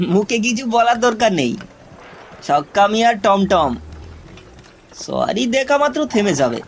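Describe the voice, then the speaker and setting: A man narrating a spooky cartoon tale expressively, with hesitant pauses. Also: high-pitched, a little hiss or hum. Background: music.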